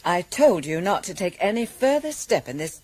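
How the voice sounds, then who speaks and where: An actress in a British radio drama playing a stern, middle-aged senior probation officer who speaks in a formal, disapproving manner. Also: female voice, on the low side, sing-song, moderate pace, darkish tone. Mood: reproving. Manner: formal, reproving.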